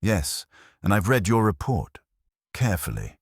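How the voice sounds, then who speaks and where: A man's voice: sits low, wildly swooping pitch, measured pace, breathy edge, no room sound, pitched above the performer's own voice, heavily acted. A man voicing a dry, precise, vaguely amused female board chair in an audiobook, clipped and official. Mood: dry, guarded.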